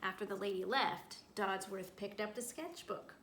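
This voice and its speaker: A woman's voice: medium pitch, normal speed. A woman, a school librarian, reading a picture book aloud to children, telling it expressively with little asides to her listeners. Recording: roomy echo, clear background noise.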